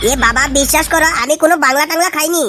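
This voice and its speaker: A woman's voice: high voice, very fast, loud music behind the voice, close to an everyday voice. A woman voicing a cheeky, wheedling young slacker lad in a comedy cartoon. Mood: pleading. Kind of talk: amateur comedy cartoon dialogue.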